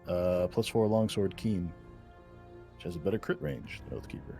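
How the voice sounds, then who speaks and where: A man with a low, creaky voice chats casually as a tabletop game player. His delivery is hesitant and tentative, over faint music. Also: moderate pace, mellow.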